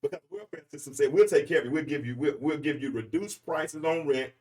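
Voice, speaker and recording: A man's voice: on the high side, very animated, fast, darkish tone, far from the mic. A man, hosting a freestyle commentary monologue in an emphatic, rambling, preachy way. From Arkansas, US. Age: 40s. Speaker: podcast host.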